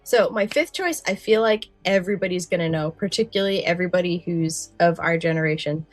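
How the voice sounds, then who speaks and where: A woman's voice: on the low side, fairly quick, no room sound, faint music behind. A woman co-hosting a podcast, speaking conversationally about things she has chosen to share. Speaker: podcast co-host. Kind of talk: casual podcast chat with some prepared narration.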